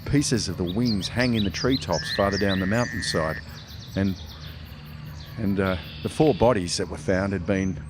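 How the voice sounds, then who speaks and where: A man's voice: low-pitched, normal speed, background noise, lightly acted. A man playing a weary military investigator in a community radio play, grave and methodical with some faltering.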